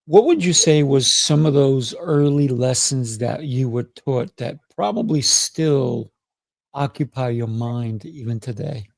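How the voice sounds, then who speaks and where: A man's voice: mid-range voice, a little slow, vocal fry, no echo. A man hosting a podcast interview, affirming and encouraging his guest. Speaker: podcast host.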